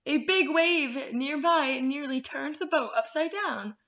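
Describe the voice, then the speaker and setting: A woman hosting storytime as a children's librarian, expressive and encouraging as she reads and explains to little ones. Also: high voice, a little slow. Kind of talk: storytime read-aloud and teaching rhymes to children. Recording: echoey room, slightly muffled sound.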